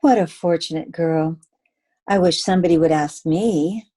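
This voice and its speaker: A woman's voice: fairly low pitch, normal speed, warm tone, close to an everyday voice. A woman playing a detective's coy, jealous, sophisticated girlfriend in an old-time radio drama. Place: home, remote video call recording.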